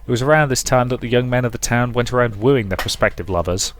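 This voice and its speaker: A man's voice: mid-range voice, fast. A man reading a visual novel aloud as dramatic story narration. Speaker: let's play narrator.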